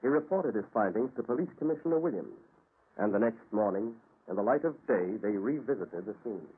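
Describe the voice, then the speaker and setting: A dramatic radio-mystery narrator. His scripted delivery is measured and theatrical. The sound is thin and muffled, from an old broadcast. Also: fairly low pitch, animated, breathy edge.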